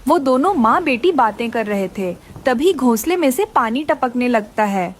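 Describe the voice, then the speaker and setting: A woman's voice: fairly high pitch, expressive, moderate pace. A woman narrating a scripted children's story.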